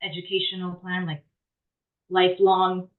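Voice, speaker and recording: A woman taking part in an online course discussion, speaking softly and haltingly, repeating words as she searches for them. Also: fairly low pitch, monotone. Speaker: course participant. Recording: tinny phone-like line.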